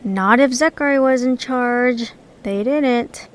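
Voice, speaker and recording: Woman as a high-voiced, dry, impatient traveler. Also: takes it slowly. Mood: resigned, blunt. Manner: dry, sardonic. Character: blunt, pragmatic, impatient young female creature traveler.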